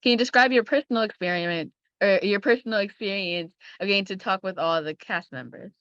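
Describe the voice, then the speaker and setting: A woman's voice: mid-range voice, brisk, fairly bright tone, no room sound, lo-fi sound. A young woman conducting an interview in a casual, polite conversational style. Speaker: young film critic interviewer.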